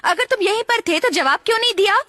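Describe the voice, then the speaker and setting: Very high-pitched, exasperated cartoon mother. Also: expressive, quick pace, no echo, clean studio sound, full character voice. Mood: scolding. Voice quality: bright, high. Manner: dramatic, stern. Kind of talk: Hindi cartoon dub acting. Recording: music under the voice.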